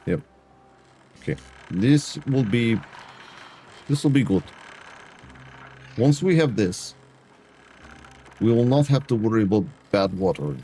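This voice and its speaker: A man's voice: mid-range voice, animated, slow, pauses often, mellow, a little hiss or hum. A man commentating a building game to his chat in a put-on broken-English dictator voice, dropping articles. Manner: talks to chat in a mock Eastern European dictator voice, dropping articles. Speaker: game streamer. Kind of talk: casual gameplay commentary.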